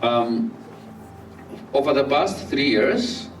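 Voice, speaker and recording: A man from Somalia giving a public talk in a slow, halting way, with many fillers and restarts. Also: medium pitch, expressive, distant mic, slight background noise. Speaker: speaker at a community talk. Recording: roomy, off-mic, faint music behind.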